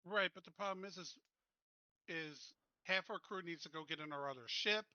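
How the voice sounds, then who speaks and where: A man talks casually as a player in a tabletop game. His voice is somewhat high and very bright and tense, recorded dry with a slightly lo-fi sound. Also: moderate pace, dry recording.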